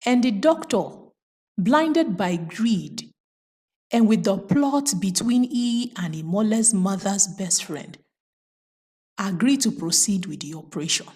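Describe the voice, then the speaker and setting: A woman's voice: mid-range voice, lively intonation, takes it slowly, darkish tone, breathy edge. A woman telling a folktale as host, emphatic and moralizing, repeating phrases for dramatic effect. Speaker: storyteller and host.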